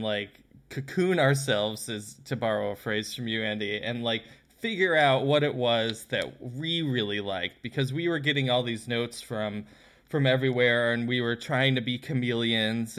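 A man with an American accent and a medium-pitched voice talks casually as an interview guest. He is measured and wry, pausing as he picks his words. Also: fairly bright tone. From Colorado, United States. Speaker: podcast guest, comedy writer.

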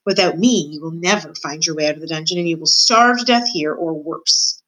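A woman's voice: sits low, brisk, a bit bright, poor audio. A woman voicing a scheming, cruel male rat from a storybook, with a commanding, formal and sneering manner. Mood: disdainful. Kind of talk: storybook character voice.

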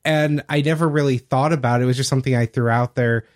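A man's voice: medium pitch, fairly even intonation, quick pace. A man co-hosting a casual podcast discussion about tabletop gaming, explaining his points from his own experience. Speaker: podcast co-host. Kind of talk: casual discussion of tabletop role-playing games.

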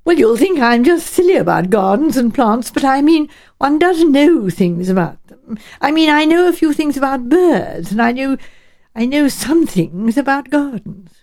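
Shrewd, genteel old English lady detective for audiobook woman performing; soft-edged tone, thoughtful and chatty. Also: sits high, very animated, normal speed, voice pushed up. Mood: chatty, puzzled. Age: elderly. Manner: musing, hedging, politely chatty. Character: shrewd, genteel elderly village spinster turned amateur sleuth.

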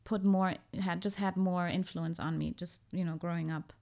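A woman's voice: on the low side, steady tone, normal speed, creaky, dark tone. A woman from Germany, a podcast guest, talking reflectively with many hesitations and restarts. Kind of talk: casual conversation.

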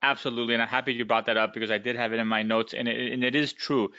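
Courteous podcast host with a bright voice. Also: male voice, medium pitch, little pitch movement, very fast, smooth, dry recording, muffled recording. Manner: courteous, uses many fillers. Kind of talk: podcast interview.

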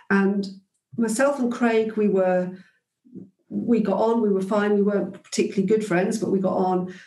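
A woman's voice: mid-range voice, mellow, echoey room. A woman guest in an interview, reflecting thoughtfully on her teaching days, with frequent ums and restarts. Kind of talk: reflective conversational storytelling.

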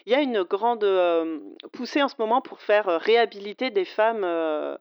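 A woman's voice: mid-range voice, expressive, moderate pace, smooth, dry recording, muffled recording. A woman in casual conversation who talks chattily with frequent hesitations and laughs easily. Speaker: podcast co-host.

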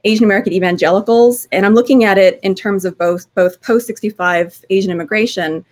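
A woman's voice: medium pitch, a little fast. A woman historian with a scratchy voice giving informal panel remarks, conversational and searching for her words.

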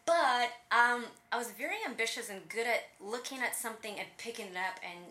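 A woman's voice: fairly high pitch, brisk, reverberant. A woman from Latvia whose first language is Russian, telling her story as an interview guest with lots of energy and laughter. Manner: energetic, laughs often, animated. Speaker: guest, personal trainer.

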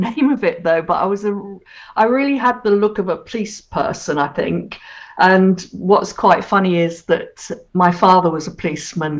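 Chatty older woman reminiscing. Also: on the low side. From Hong Kong. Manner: chatty, reminiscing, quick to laugh. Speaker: guest. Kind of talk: casual interview.

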